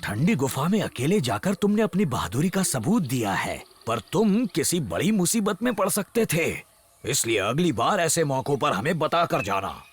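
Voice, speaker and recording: A man's voice: on the high side, very animated, normal speed, breathy edge. A man voicing a kindly, fatherly bear in a children's cartoon, speaking expressively. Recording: music behind the voice.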